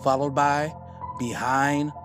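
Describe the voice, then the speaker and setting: A man hosting an old-time radio podcast, introducing the episode in a relaxed and deliberate way. He speaks very slowly at a middle pitch, with loud music playing behind him. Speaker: podcast host.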